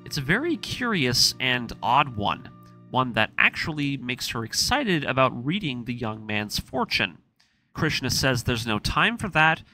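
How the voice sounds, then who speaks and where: A man reading a scripted story recap as narrator, telling it in an animated, present-tense storytelling manner. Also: mid-range voice.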